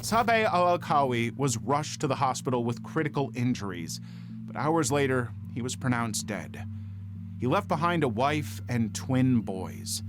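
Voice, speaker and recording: A man reading scripted true-crime documentary narration in a dramatic, measured storytelling manner. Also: mid-range voice, big pitch swings. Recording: faint music bed under narration. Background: background music.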